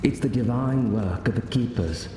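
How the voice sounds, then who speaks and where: An actor playing a calm, wry male mentor in a video game, speaking in a measured, formal, instructive way. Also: medium pitch, noisy background, natural voice. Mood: solemn, instructive. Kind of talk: video game cutscene acting. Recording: echoey hall reverb, music behind.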